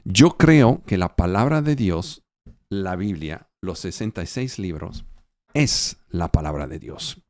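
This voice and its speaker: A man preaching a sermon in a language that is not his first, halting, with fillers and restarts. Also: sits low, lively intonation, darkish tone, dry recording. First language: not Spanish. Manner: halting, with fillers and restarts as a non-native speaker.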